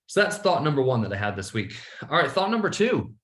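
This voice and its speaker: A man's voice: medium pitch, big pitch swings, fast, fairly bright tone. Emphatic male coach in an echoey room. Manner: emphatic, repeats "all right" often. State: a little sleepy. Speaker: business coach and podcast host. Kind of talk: casual motivational monologue.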